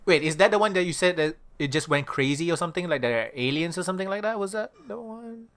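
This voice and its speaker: A man's voice: high voice, animated, quick pace, a bit bright. A man co-hosting a podcast in casual chat, blunt and wry, swearing freely. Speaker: podcast co-host.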